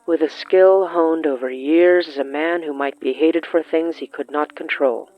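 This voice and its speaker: A narrator voicing a learned, disdainful man of magic who lectures in a measured, solemn way. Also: mid-range voice, steady tone, narrow, tinny audio, faint music behind, close to an everyday voice. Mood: solemn, reflective.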